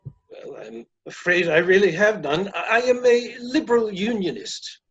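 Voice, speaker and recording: High-voiced flustered gentleman, played by a man, muffled sound. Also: lively intonation, normal speed, breathy edge, full character voice. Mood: flustered, apologetic. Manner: hesitant, apologetic, formal. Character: polite, flustered gentleman suitor under questioning. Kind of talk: comic stage play reading.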